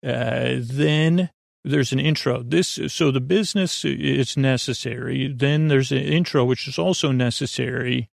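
A man's voice: medium pitch, measured pace, vocal fry, dry recording, clean studio sound. A man hosting a podcast in a casual, goofy bedtime monologue, meandering and self-deprecating, often trailing off and restarting his sentences. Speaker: podcast host.

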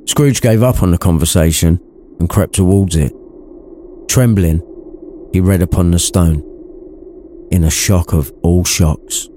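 A man's voice: deep voice, unhurried, long pauses, warm tone, a little hiss or hum. A man narrating a story in an irreverent comic style, with wry, sweary asides. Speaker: narrator, podcast reader.

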